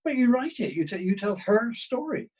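A man with a husky voice chatting casually in an interview about his work, often interrupting himself with asides. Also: very high voice, sing-song, darkish tone. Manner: halting, rambling with self-interruptions and asides. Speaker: guest, novelist.

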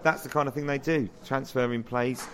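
Man, medium pitch, English origin, podcast banter, mock-earnest, theatrical storytelling. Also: steady tone, normal speed, fairly bright tone. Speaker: podcast co-host, actor.

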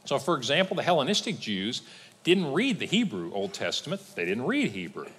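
Husky-voiced pastor with lively pitch. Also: male voice, brisk, in a large hall. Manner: explanatory, with lively pitch swings and dry asides. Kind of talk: teaching sermon. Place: church sanctuary.

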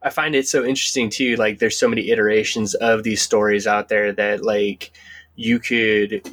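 A male podcast co-host chats casually. His voice is on the low side and distinctly husky, with a rough edge to it. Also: flat delivery, normal speed, a bit bright. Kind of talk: casual chat.

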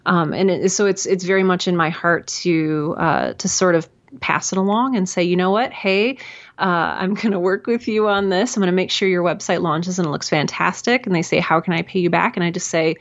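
A woman's voice: on the low side, brisk. A woman giving earnest, effusive answers as a guest in a conversational interview, often acting out little quotes. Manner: earnest, effusive, animated self-quoting. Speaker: podcast guest, writer and mentor. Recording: dull, lo-fi sound.

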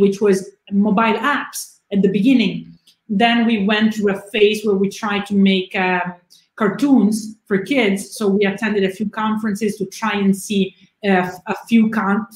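A woman speaks English as a guest on an interview show. She tells her story fluently and steadily at a middle pitch. Her voice carries a clear room echo. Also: a little mellow. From southern Italy. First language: Italian. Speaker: guest, publisher. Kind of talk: interview answers. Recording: echoey room.

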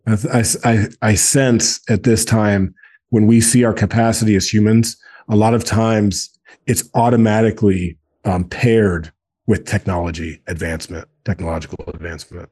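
A man's voice: lowish voice, fairly even intonation, moderate pace, a little mellow, breathy edge. A man in his forties speaking earnestly in a casual podcast conversation, often repeating words for emphasis. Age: 40s. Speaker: guest, men's group co-founder.

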